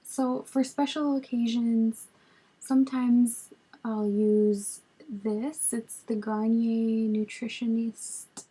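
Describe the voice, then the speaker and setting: A woman in her twenties with a raspy voice from a cold, chatting casually through a makeup tutorial, recorded on a webcam in a small bedroom. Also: on the high side, slow, rough recording. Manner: relaxed, chatty, lots of ums while applying makeup. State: hoarse from a cold. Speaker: beauty vlogger. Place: small bedroom with storage shelves, indoors. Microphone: webcam at arm's length.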